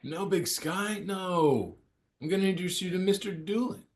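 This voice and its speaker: Table read role: man acting a friendly young male slacker, casual and slangy, lightly high-pitched. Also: normal speed, close to an everyday voice. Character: laid-back, friendly young dude named Brody.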